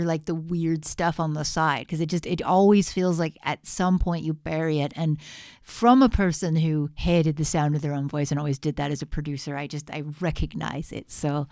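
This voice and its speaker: A woman's voice: sits low, a little mellow, no echo, studio quality. A woman talking casually and candidly on a feedback livestream, encouraging and slangy like a friend. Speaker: music producer giving feedback on livestream.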